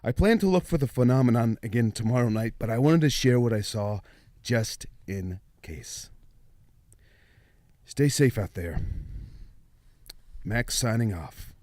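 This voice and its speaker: Man acting a rattled, animated field researcher. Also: medium pitch, no room sound, close to an everyday voice. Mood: weary, nervously amused. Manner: halting, stammering, nervous chuckles. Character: rattled, nerdy male field researcher of mysterious creatures. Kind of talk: audio drama field transmission.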